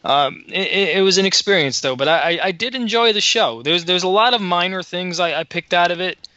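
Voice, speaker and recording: A man co-hosting a podcast, talking in a halting way that repeats words as he thinks aloud. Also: high voice, animated, pushed and bright, no room sound. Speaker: podcast co-host. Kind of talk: casual podcast chat. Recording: dull lo-fi podcast audio.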